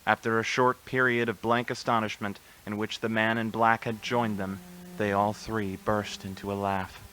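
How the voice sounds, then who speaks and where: A man's voice: on the low side, little pitch movement, normal speed, pushed and bright, smooth, poor audio. A man narrating a scripted radio play in a solemn, literary storytelling manner. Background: music.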